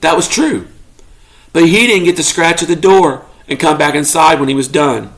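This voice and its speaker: A man reading a children's story aloud expressively, as a parent does to a child, pausing to ask questions. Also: fairly high pitch, a bit bright. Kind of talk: expressive children's read-aloud, narrating as a pet dog.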